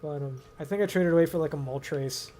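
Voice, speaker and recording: A man's voice: fairly high pitch, fairly quick, warm tone, a little hiss or hum. A young American man telling stories casually to a friend, rambling and slangy, often repeating himself. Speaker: video host. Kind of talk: casual storytelling chat with a friend.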